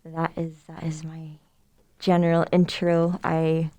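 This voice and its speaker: Relaxed young woman with a mellow voice. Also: low-pitched, a little slow. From Somalia. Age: young adult. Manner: relaxed, friendly, explains at length with fillers. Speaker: student club president, podcast guest. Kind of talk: casual podcast interview. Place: room with others.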